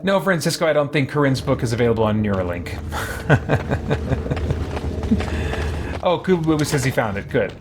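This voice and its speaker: A man's voice: medium pitch, animated, moderate pace, pauses often, noisy background. A man streaming a game, chatting casually and thinking aloud as he plays. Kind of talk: casual live game commentary. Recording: game music behind the voice.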